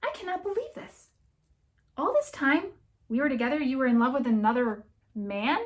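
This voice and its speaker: A woman's voice: high-pitched, expressive, moderate pace, voice pushed up. A woman voicing a jilted young woman in an audiobook, indignant and incredulous, with an emphatic, exasperated way of talking. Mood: furious. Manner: exasperated, emphatic, stammering disbelief.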